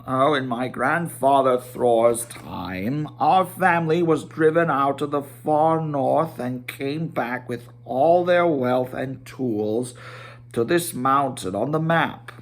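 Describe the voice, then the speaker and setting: Man playing a proud storybook dwarf lord, very slow delivery, stately, long-winded. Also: medium pitch. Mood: proud, reminiscing. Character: proud, long-winded dwarf leader telling family history. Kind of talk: storybook character voice.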